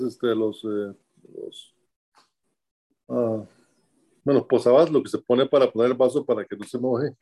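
A man in his sixties with a Costa Rican accent, answering interview questions from home in a measured, halting way, often pausing with fillers to find his words. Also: medium pitch, dark tone. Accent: Costa Rican Spanish. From Costa Rica. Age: 60s.

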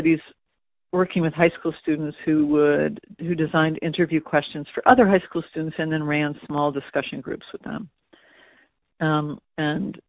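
A man's voice: a little high, vocal fry, dry recording. A man talking through an interview answer thoughtfully, with frequent restarts and fillers, as an educator. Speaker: museum education staff. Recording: tinny phone-like audio.

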